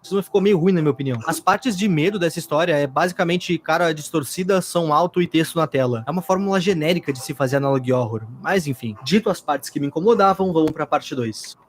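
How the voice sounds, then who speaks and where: A man's voice: on the high side, quick pace, few pauses, a little hiss or hum. A Brazilian man hosting a reaction video, retelling a story in casual, colloquial commentary with some sarcasm.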